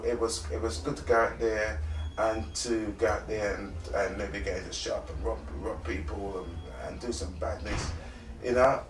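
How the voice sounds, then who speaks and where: A middle-aged man with a London accent speaks as an interview guest, recounting personal experience. His delivery is colloquial and emphatic, circling back on words for effect. The pitch is average, and the room echoes around a voice set back from the mic. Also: distant mic. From North London, born in Trinidad and Tobago. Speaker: interview guest, conflict engagement specialist and mediator.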